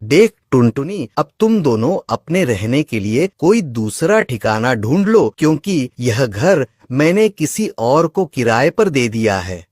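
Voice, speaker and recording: A greedy, harsh landlord bird, played by a man in a cartoon story, stern and dismissive. His pitch swings widely, and the sound is close and dry. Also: sing-song, a little slow, hardly any pauses, a little mellow, dry recording. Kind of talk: Hindi cartoon voice acting.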